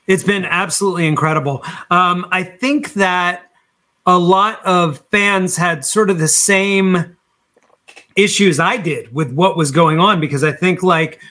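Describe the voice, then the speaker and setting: A man's voice: high-pitched, measured pace, bright, forceful tone. A man speaking as an interview guest in a casual, enthusiastic and informal conversational style. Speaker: podcast guest, band singer.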